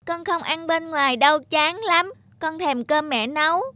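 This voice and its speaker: A performer playing a hungry, pleading little boy in an animated drama dub. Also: sits high, moderate pace, no echo. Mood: plaintive.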